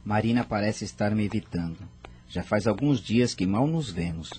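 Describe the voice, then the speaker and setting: An actor playing a polite, lovelorn young widower in a radio soap opera. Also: male voice, on the low side, lively intonation, moderate pace, a little mellow, a little hiss or hum, natural voice. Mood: wistful.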